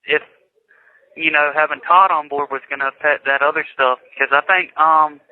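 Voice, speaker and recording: A man's voice: on the high side, fairly even intonation, moderate pace. A young man talking casually on a recorded telephone call. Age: young adult. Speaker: jail inmate calling his mother. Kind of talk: casual phone conversation. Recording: tinny lo-fi recorded jail phone call.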